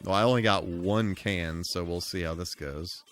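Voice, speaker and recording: A man's voice: deep voice, normal speed, fairly bright tone. A man giving casual live commentary while playing a video game, full of mild folksy exclamations and mock-exasperated asides. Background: music.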